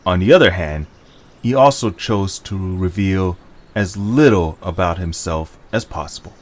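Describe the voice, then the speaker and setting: A man's voice: low voice, lively intonation, measured pace. A man narrating a scripted story for an audio drama.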